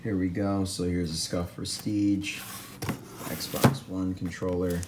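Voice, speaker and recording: A man with a hoarse, worn-out voice hosts his own esports news vlog. He sits low in pitch and talks to camera in a loose, casual way, eager and keen on doubling up words for emphasis. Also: level tone, slow, background noise. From Atlanta, US. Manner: enthusiastic, repeats words for emphasis.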